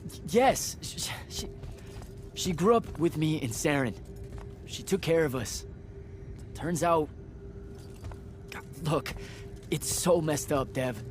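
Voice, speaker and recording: An actor playing a young male battlemage, earnest and defensive, with a halting, stammering delivery in game cutscene style. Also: on the high side, animated, soft background music. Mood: flustered, pleading. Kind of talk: video game cutscene acting.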